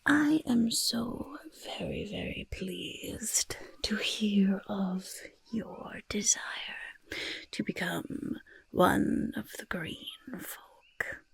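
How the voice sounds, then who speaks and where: A raspy little goblin croaks softly in a breathy half-whisper. The delivery is slow and swoops up and down, boastful and eager, with fussy repeated words in an ASMR roleplay. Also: female voice, mid-range voice, expressive, no echo, exaggerated voice. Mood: delighted, boastful. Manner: speaks of self in third person, fussy, emphatic repetition. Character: boastful, eager goblin potion-peddler of playful temper. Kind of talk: soft-spoken ASMR roleplay.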